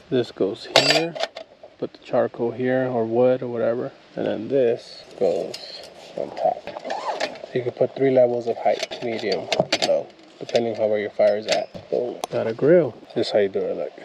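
A man's voice: medium pitch, vocal fry. Chill guy filming himself at a forest campsite, walks thru every step, action cam mic with outdoor noise in the background. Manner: relaxed, chatty, talks through each step. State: hushed early-morning talk. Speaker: moto camping vlogger. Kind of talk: casual vlog narration. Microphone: action camera, chest-mounted or handheld.

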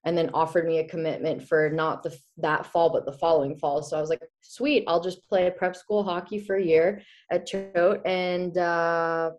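A woman in her twenties from the Czech Republic, chatting as a guest in a video-call interview, animated and quick to laugh. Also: fairly low pitch. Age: 20s. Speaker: guest, former college hockey player. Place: small indoor room. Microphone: laptop webcam on video call.

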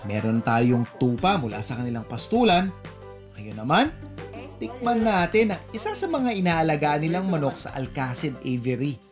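A woman's voice: low-pitched, big pitch swings, moderate pace. A woman with a Filipino accent reading a scripted voice-over narration for a show. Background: light background music.

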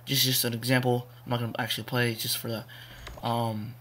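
A young man with a hoarse, cracking voice, rambling casually through a video game walkthrough with many fillers. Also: medium pitch, level tone, fairly quick, fairly bright tone. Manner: rambling, repeats "you wanna" with fillers. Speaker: gaming tutorial maker. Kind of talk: casual screen-capture walkthrough.

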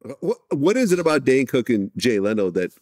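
A man's voice: mid-range voice, very animated, a little fast, a little mellow, dry recording. Male interviewer, very dry close sound. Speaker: interviewer, host. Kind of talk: conversational interviewing.

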